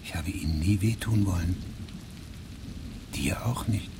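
An actor playing a pedantic, self-righteous middle-aged judge in a radio drama, speaking formally and precisely. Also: male voice, sits low, noisy background. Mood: cool, wounded. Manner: formal, precise, measured, stiff.

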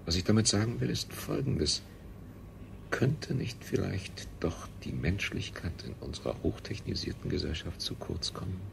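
An actor playing an earnest, high-minded scientist in a 1970s radio drama, speaking solemnly and pompously. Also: male voice, lively intonation, moderate pace, gravelly, heavily acted. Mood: preaching, insistent. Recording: noisy 1970s radio play recording.

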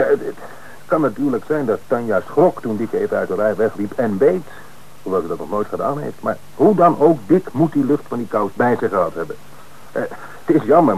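An actor playing a calm police dog handler who firmly explains his dog's work in an old radio play. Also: fairly low pitch, a little hiss or hum, rough recording.

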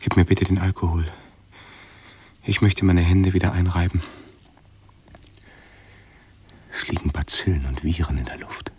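An actor playing an anxious, pedantic, self-absorbed male hypochondriac in a radio play, with a husky, breathy voice and halting, formal phrasing. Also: sits low, darkish tone, a little hiss or hum, lightly acted. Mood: fretful, complaining. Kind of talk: 1970s German radio play acting.

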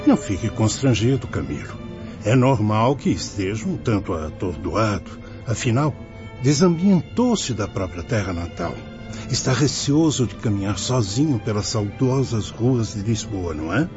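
An actor in a radio drama playing a kindly, reassuring priest who guides troubled spirits. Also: male voice, on the low side, very animated, normal speed. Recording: dull lo-fi recording under music. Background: music.

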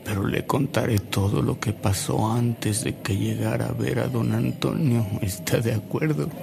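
An actor with a Mexican accent playing a courteous elderly man who tells reverent stories in a gravelly voice in a radio drama. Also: medium pitch, steady tone, a crowd in the background. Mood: courteous, gentle. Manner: courteous, reverent, unhurried storytelling. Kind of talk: Spanish-language radio drama acting.

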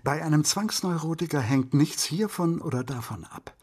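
An actor playing a pedantic, cautionary psychiatrist, lecturing emphatically with a husky voice in a radio drama. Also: male voice, on the high side, animated, normal speed, a little mellow. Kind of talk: radio crime drama dialogue.

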